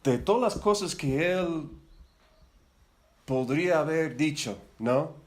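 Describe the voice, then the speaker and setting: A man's voice: fairly high pitch, expressive, takes it slowly. A middle-aged man who learned Spanish as a second language, leading a Bible study for church leaders in a casual, rambling way, often repeating words and asking for agreement. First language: not Spanish. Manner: chatty, rambling, with frequent tag questions and repeated words. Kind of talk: casual, conversational teaching.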